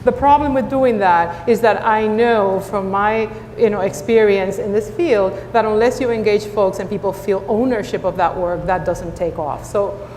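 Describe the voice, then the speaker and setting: A woman's voice: medium pitch, normal speed, a little mellow. A woman with a Spanish accent, a native Spanish speaker, speaking earnestly on a panel with frequent restarts. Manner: earnest, many filler restarts. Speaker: school superintendent. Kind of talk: panel discussion. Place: conference hall. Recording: echoey hall with faint noise.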